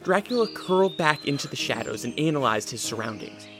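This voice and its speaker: A man's voice: on the high side, very animated, a little fast, over music. A man narrating a scripted radio drama in a storytelling style.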